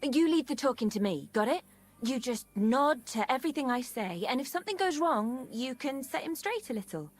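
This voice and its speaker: A woman's voice: high-pitched, very animated, moderate pace. An actress playing a scheming, foul-mouthed, bossy woman in a video game, speaking in a conspiratorial, coarse way. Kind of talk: dramatic video game voice acting.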